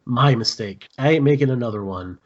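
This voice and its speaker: A man's voice: mid-range voice, fairly quick, darkish tone, lo-fi sound, natural voice. Man reading a stern special-unit squad leader. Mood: commanding. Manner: clipped, authoritative military orders. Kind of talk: screenplay table read.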